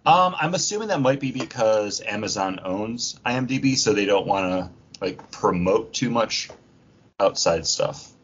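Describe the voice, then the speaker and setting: A man with a husky voice co-hosting a podcast in loose, casual chat. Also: lowish voice, expressive, measured pace, fairly bright tone, muffled recording, a little hiss or hum. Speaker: podcast co-host.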